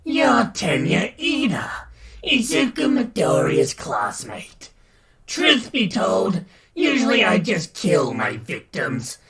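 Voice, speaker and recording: A man voicing a sadistic, mocking alien symbiote villain in a dramatic story, with drawn-out, taunting delivery. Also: sits high, wildly swooping pitch, gravelly, fairly bright tone, voice pushed up, exaggerated voice. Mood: gloating menace.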